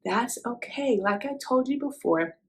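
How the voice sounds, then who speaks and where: Children's read-aloud host, woman, slightly high voice with very lively intonation, encouraging teacherly delivery straight to the young listener. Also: unhurried. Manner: encouraging, instructive, asks the listener questions and affirms.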